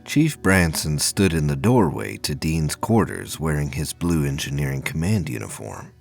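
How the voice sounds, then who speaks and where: A man's voice: extremely low pitch, big pitch swings, moderate pace, vocal fry, faint music behind. A man reading scripted narration as the narrator of an audio drama.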